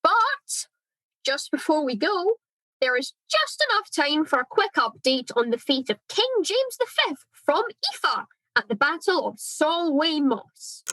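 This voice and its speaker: A chirpy radio presenter played by a woman in a comedy sketch. The delivery is high-pitched and slow, in the manner of a spoof broadcast. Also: big pitch swings, a bit bright, no echo, exaggerated voice, poor audio. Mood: bright, professional.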